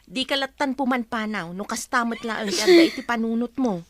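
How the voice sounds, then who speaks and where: High-voiced, earnest young female friend acted by a woman. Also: expressive, a little fast, lightly acted. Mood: worried pleading. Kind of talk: Ilocano audio drama acting.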